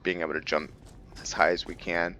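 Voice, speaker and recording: Deep voiced guy doing chill game commentary, curious, thinks out loud, bit of hiss. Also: flat delivery, fairly quick. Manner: curious, thinks out loud, with the odd hushed whisper. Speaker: gameplay commentator. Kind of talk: casual let's-play commentary.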